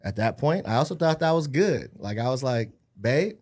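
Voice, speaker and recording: A man's voice: medium pitch, expressive, normal speed, a little mellow. Man, 30s, upbeat, jokey, self-deprecating, casual podcast guest. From Hawaii-born, raised in California and Arizona. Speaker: podcast guest, real estate agent. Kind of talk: casual chat.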